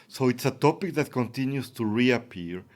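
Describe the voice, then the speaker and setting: A man's voice: mid-range voice, expressive, normal speed, a little mellow. A man from Ecuador, a native Spanish speaker with an Ecuadorian accent, giving reflective interview answers as an academic guest.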